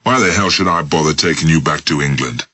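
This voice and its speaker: A man's voice: rumbling low, fast, husky, no echo, exaggerated voice. A male reader voicing a ruthless man who threatens and mocks a frightened captive. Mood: contemptuous. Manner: forceful, menacing, mocking.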